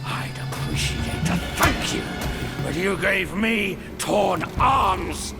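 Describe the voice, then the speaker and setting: An actor playing a proud, vengeful monster in a video game, gravelly, grandiose and menacing. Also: male voice, high-pitched, animated, slow pace, over loud music, full character voice. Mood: aggrieved menace. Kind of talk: dramatic video game cutscene acting.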